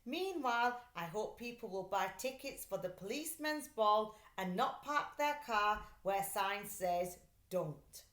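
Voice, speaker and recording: A woman voicing a storybook father who calmly reads the newspaper aloud in a declarative, newsy manner. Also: medium pitch, a little slow. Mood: matter-of-fact. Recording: echoey room.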